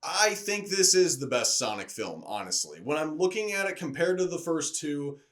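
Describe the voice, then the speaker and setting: A man's voice: on the high side, lively intonation, normal speed, a bit bright. A man reviewing movies in a casual, conversational video style, enthusiastic and openly opinionated.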